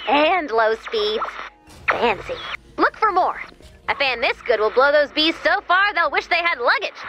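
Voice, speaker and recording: A woman voicing a cheerful, chatty, excitable robot helper in a video game, with a perky, quirky delivery. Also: sits high, full character voice. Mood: delighted, playful. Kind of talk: video game mission dialogue.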